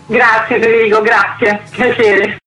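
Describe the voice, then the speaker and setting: A woman's voice: fairly high pitch, little pitch movement, unhurried, heavy background noise. A woman being interviewed as an author, explaining her work in formal, academic language.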